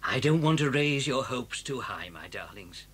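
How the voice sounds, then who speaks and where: An actor voicing a gentle, clever father fox, hopeful yet cautious, in a children's audio story, with a husky voice. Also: mid-range voice, lively intonation, normal speed. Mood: determined, tender.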